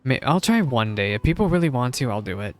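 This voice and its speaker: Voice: mid-range voice, sing-song, fast. A game streamer reacting live to story scenes, thinking aloud and echoing the dialogue. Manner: musing aloud, echoes dialogue. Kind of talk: live gameplay reaction commentary. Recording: game music under voice. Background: game music and dialogue.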